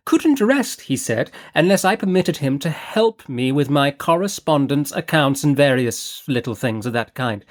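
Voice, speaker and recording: A man's voice: medium pitch, voice pushed up, natural voice. A man reading an audiobook voices a gushing, flighty aristocratic lady, a scheming mother, with a theatrical manner.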